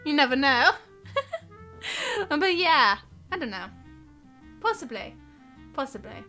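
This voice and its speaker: A woman's voice: sits high, big pitch swings, slow, sharp tone. A woman live streamer giving casual, chatty, giggly game commentary that rambles along. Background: game music.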